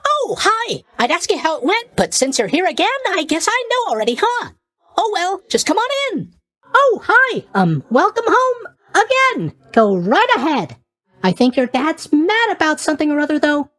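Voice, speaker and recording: A man's voice: very high-pitched, wildly swooping pitch, normal speed, bright, forceful tone, dry recording, full character voice. A man voicing a sleepy, scatterbrained young god of sleep in a video game, airy, hesitant and guilelessly blunt. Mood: cheerful, drowsy. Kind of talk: animated video game character voice lines.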